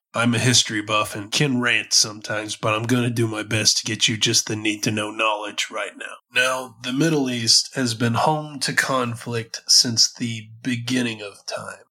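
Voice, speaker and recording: A man hosts a scripted political podcast with a bright, forceful voice. Bronchitis has left him hoarse and a little nasal. He delivers the material earnestly and emphatically, like a lecture. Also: medium pitch. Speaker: podcast host.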